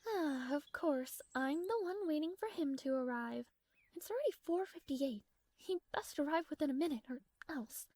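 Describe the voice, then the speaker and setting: A woman's voice: sits high, expressive, moderate pace, darkish tone, slight background noise. A woman playing a proud, strong-willed young princess in a romantic fantasy audio roleplay, speaking formally with haughty, flustered hesitations. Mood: impatient.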